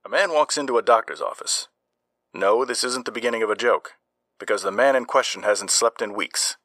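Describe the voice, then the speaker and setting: A man's voice: lowish voice, animated, a little fast, bright, forceful tone, no room sound, clean studio sound. A man giving scripted narration as an ominous, dramatic storyteller for a horror anthology.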